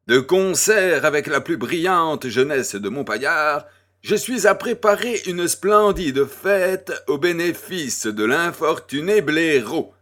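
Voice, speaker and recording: An actor playing an urbane, grandiose baron, florid, courteous and self-assured, in a French audio drama. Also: male voice, fairly high pitch, animated, takes it slowly, fairly bright tone. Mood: grand, enthusiastic.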